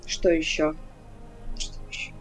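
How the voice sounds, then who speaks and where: A woman's voice: a little slow, faint music behind. A woman running a tabletop game, giving casual, hesitant feedback over an online voice chat and often repeating words as she talks. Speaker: game master.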